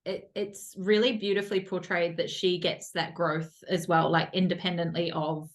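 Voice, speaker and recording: A woman's voice: on the low side, flat delivery, moderate pace. Chatty woman podcast host. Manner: chatty, laughs often, many "like" fillers. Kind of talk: casual interview chat.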